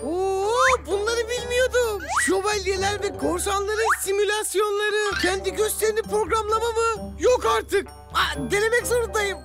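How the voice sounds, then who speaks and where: A woman voicing an excitable, scheming little boy in a children's cartoon, with dramatic, exclamatory delivery. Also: extremely high pitch, a little slow, hardly any pauses. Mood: excited. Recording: loud music behind the voice. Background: music.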